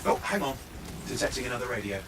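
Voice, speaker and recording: A man playing an eager, chatty young mission guide in a video game, upbeat and briskly informative. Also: mid-range voice, very animated, vocal fry, background noise. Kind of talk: video game character dialogue. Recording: loud game music behind voice.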